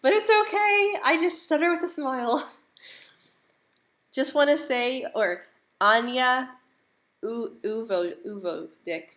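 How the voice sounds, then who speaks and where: A woman's voice: high-pitched, animated, slow, long pauses, fairly bright tone. A young American woman who stutters, repeating words and sounds, chatting casually on a live stream and laughing easily. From United States.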